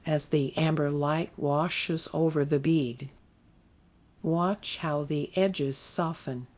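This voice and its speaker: A meditation guide leading a guided sleep meditation in a soft, soothing way. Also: mid-range voice, steady tone, muffled recording. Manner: slow, soft, soothing delivery.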